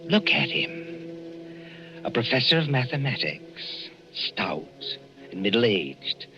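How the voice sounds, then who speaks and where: A man narrating a 1940s radio suspense drama in a measured, ominous, theatrical way. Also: mid-range voice, big pitch swings. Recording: dull vintage radio recording with music. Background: music.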